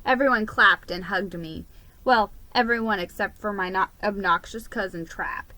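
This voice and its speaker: A woman giving a deliberate, theatrical reading of a children's story as its narrator. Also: mid-range voice, sharp tone, poor audio. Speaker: storybook reader.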